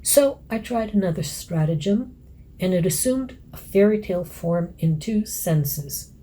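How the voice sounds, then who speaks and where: A woman's voice: on the low side, expressive, measured pace, warm tone, rough recording. A woman lecturer reading aloud from her scholarly essay. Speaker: academic author and lecturer.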